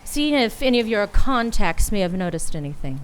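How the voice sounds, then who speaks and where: A woman's voice: mid-range voice, very animated, a little fast, close to an everyday voice. A woman playing a tough, wry female private detective in a noir radio play, with a hard-boiled, clipped, wisecracking delivery. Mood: brusque.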